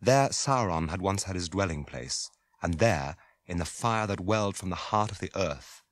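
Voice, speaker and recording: A man with a British accent giving grave, measured, solemn narration for a radio drama. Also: low-pitched, lively intonation, dry recording.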